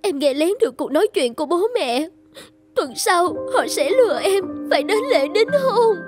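A desperate young cartoon woman in love, extremely high and girlish. She pleads emotionally and with a strong will, over clear background music. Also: animated, normal speed, darkish tone. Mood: tearful. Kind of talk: dubbed cartoon dialogue.

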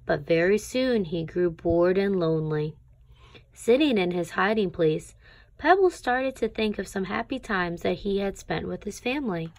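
A woman reading a children's picture book aloud as a teacher would, with deliberate phrasing for young listeners. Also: mid-range voice, expressive, no echo. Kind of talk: read-aloud children's storybook narration.

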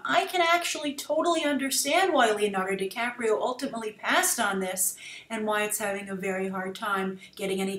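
A woman's voice: a little high, fairly bright tone, reverberant. A young woman giving an off-the-cuff film review to a webcam in a small room. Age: young adult. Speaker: web show host, film reviewer. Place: small plain room indoors.

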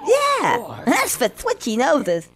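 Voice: sits high, huge pitch swings, slow pace, a bit bright, heavily acted. An actor voicing a sly, wisecracking squirrel in a cartoon video game, with sarcastic, exaggerated delivery. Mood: sly bluffing. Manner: sarcastic, bluffing, exaggerated intonation.

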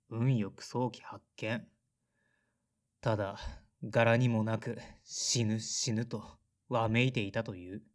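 A man's voice: medium pitch, lightly acted. A man acts as a resentful thirty-something former rocker in an audio drama. He speaks bluntly and sullenly in a mid-range voice, telling his own story. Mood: wry, recounting. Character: resentful 35-year-old son, former teenage rock guitarist. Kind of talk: audio drama dialogue and first-person narration.

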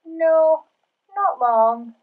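A woman's voice: medium pitch, expressive, a little slow, narrow, tinny audio. Woman voicing a stubborn, bouncy little pig. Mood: playfully stubborn. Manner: emphatic, childlike refusals. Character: playful stubborn little pig putting off bedtime.

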